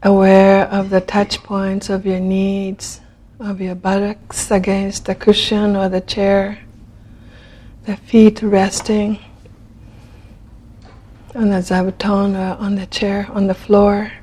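A woman leading a guided meditation in a gentle, unhurried manner, leaving long pauses between phrases. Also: medium pitch, monotone. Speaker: meditation teacher.

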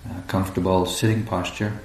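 Calm deep-voiced guy leading a meditation, lots of pauses, echoey room w faint rumble. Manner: calm, unhurried, long pauses between phrases. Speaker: meditation teacher. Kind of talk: guided meditation. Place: indoor room at a group retreat. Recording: roomy echo, faint low rumbling noise.

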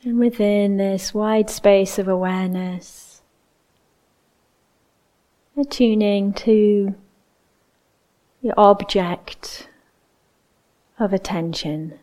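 A woman leading a guided meditation as a teacher, speaking in a gentle, unhurried way with long pauses. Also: mid-range voice.

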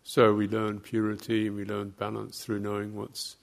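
A man giving guided meditation instruction as a teacher, speaking in short, measured phrases with long, halting pauses. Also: lowish voice, completely flat delivery, gravelly.

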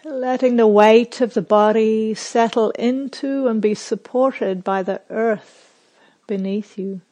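Very slow-paced woman guiding meditation. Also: on the high side, steady tone. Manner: gentle, unhurried instruction in short phrases with long pauses. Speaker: meditation teacher. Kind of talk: guided meditation.

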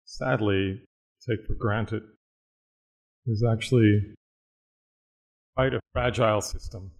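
A man teaching a guided meditation, speaking in a measured, reflective way with long pauses. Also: fairly low pitch, fairly even intonation, low-quality recording.

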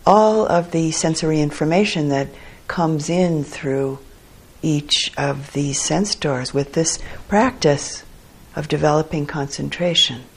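Slow, gravelly, husky-voiced woman meditation teacher. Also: low-pitched. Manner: gentle, unhurried, phrases broken by long pauses. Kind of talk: guided meditation instruction. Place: meditation hall.

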